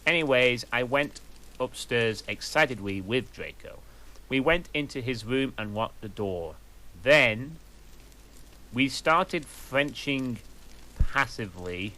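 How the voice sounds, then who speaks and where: A man reads a story aloud in a slow, deadpan manner, giving each line little emphasis. His voice is bright and forward, and the pace is unhurried. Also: medium pitch, poor audio. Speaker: reader. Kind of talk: comic dramatic reading.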